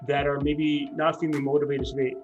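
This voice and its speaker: A man's voice: fairly high pitch, little pitch movement, fairly quick, darkish tone, highs cut off, soft background music. A man hosting a podcast interview, enthusiastic as he introduces his guest and asks questions. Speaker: podcast host.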